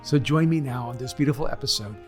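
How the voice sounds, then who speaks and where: A man's voice: medium pitch, fast talker, over loud music. A man hosting an interview podcast outdoors on a city street, talking in an earnest, effusive way. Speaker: podcast host.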